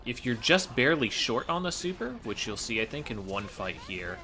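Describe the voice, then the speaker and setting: A man's voice: medium pitch, big pitch swings, moderate pace, pushed and bright. A man giving explanatory commentary as he plays through a video game speedrun.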